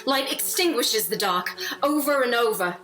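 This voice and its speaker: A woman's voice: fairly high pitch, lively intonation, normal speed, fairly bright tone. A woman playing a defiant, questioning young Jedi apprentice in a dramatic audio drama. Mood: bitter, defiant. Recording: music playing behind the voice.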